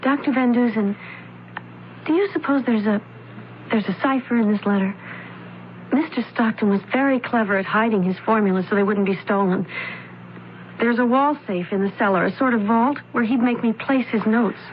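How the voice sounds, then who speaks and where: A woman's voice: a little high, normal speed, close to an everyday voice. On a dull, faintly hissy old radio recording, a young woman played by an actress speaks with polite, anxious earnestness. She is hesitant and stammers slightly, in the style of a radio mystery. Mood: anxious, earnest. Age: young adult. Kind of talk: radio mystery drama acting.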